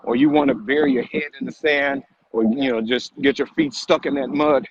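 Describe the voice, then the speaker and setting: A man's voice: mid-range voice, steady tone, moderate pace. A man co-hosting a live motivational vlog, speaking emphatically with repeated phrases and rhetorical questions. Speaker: vlog co-host and fitness motivator. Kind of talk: motivational talk on a live stream. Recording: muffled audio with background noise.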